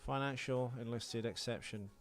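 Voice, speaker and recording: Musing man, mid-pitched voice. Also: fairly even intonation, normal speed, soft background music. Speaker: podcast co-host. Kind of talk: casual film commentary chat.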